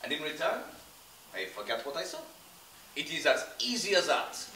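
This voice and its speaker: A man's voice: a little high, very animated, normal speed, bright, forceful tone, slight background noise. A man playing a middle-aged con man who fakes a French accent and blackmails with oily menace, recorded far from the mic in an echoey room. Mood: menacing. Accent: fake French.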